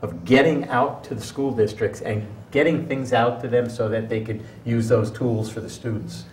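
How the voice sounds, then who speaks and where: A man's voice: medium pitch, steady tone, moderate pace. Older man in a roomy panel discussion. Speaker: interview guest. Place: small TV studio, round table. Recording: roomy, distant mic, faint noise.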